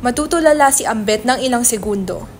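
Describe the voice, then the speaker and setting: Woman narrator with a fairly high voice. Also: lively intonation, brisk, a little hiss or hum. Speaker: narrator of stage directions. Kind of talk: scripted narration in a dramatic reading.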